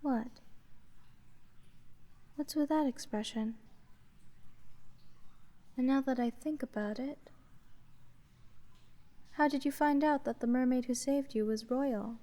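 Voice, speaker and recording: An aloof sea witch, played by a woman, speaks in a fairly high but mellow voice. She takes her time over every phrase, dry and deadpan, with a skeptical edge. The delivery is soft, monotone fantasy roleplay ASMR. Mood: weary, condescending. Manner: monotone, unhurried, dry, measured.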